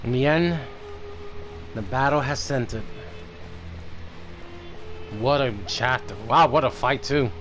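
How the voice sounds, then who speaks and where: A man's voice: medium pitch, takes it slowly, pauses often, a bit bright, soft background music. A man streaming a video game, giving casual live reaction commentary.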